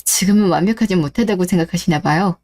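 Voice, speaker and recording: A woman's voice: lowish voice, fast, a little mellow, pitched below the performer's own voice, lightly acted. A woman playing a caring but indignant young wife in a scripted radio drama, polite yet firm.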